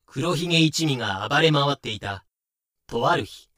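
A man's voice: medium pitch, lively intonation, normal speed, pushed and bright, no echo, studio quality. A man playing the grave narrator of a pirate legend in a fan audio drama. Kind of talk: scripted occult-tale narration in audio drama.

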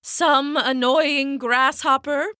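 A woman's voice: high voice, steady tone, slow, sharp tone, no room sound, clean studio sound, voice pushed up, heavily acted. A woman voicing a tired, grumpy female owl who is woken up and begs to be left alone. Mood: exasperated. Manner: exasperated, pleading. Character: sleepy grumpy female owl, easily annoyed.

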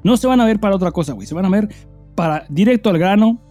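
A man's voice: sits high, expressive, fast talker, mellow, soft background music. A Mexican man co-hosting a comedy podcast, chatting casually with vulgar slang and crude storytelling. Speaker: podcast co-host.

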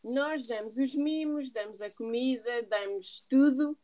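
A woman hosting a podcast in a chatty way, filling her pauses with hesitations. Her voice is mellow and warm, pitched a little high. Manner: chatty, many fillers. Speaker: podcast host. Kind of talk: podcast interview.

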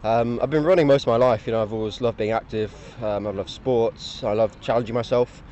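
A man's voice: medium pitch, steady tone, brisk, mellow, a little hiss or hum. A man speaking enthusiastically and fluently. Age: 20s. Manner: enthusiastic, articulate. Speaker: parkrun runner interviewee. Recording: dull lo-fi sound.

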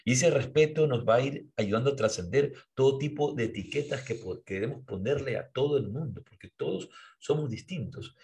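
A man's voice: medium pitch, a little fast, creaky, a little mellow, husky. A man co-hosting a podcast, talking earnestly and a bit preachy, often restarting words as he thinks. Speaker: podcast co-host. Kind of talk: reflective podcast conversation.

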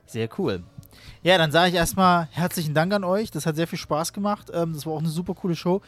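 A man hosting a podcast, interviewing a band at a festival in a relaxed, friendly way and switching to English for one guest. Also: on the high side, a little fast, no room sound, faint music behind.

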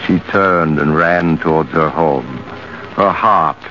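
A man narrating a vintage radio suspense drama in an ominous, measured storytelling style. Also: extremely low pitch, pushed and bright, soft background music, exaggerated voice. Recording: muffled old radio recording.

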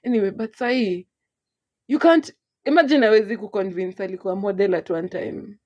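A Kenyan woman co-hosting a podcast in casual, lively chat, laughing often as she talks. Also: mid-range voice, a little fast. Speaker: podcast co-host. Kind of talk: casual chat, code-switching.

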